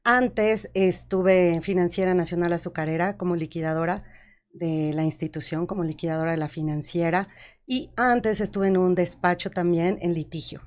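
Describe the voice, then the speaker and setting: A woman's voice: fairly low pitch, fairly even intonation, moderate pace. A woman, a judge and academic speaking formally and courteously as a panel guest, laying out her career methodically. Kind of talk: panel talk.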